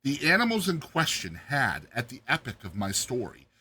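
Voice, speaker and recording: A man narrating a classic short story in a dramatized audiobook style, with deliberate, theatrical phrasing. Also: mid-range voice, wildly swooping pitch, sharp tone, husky.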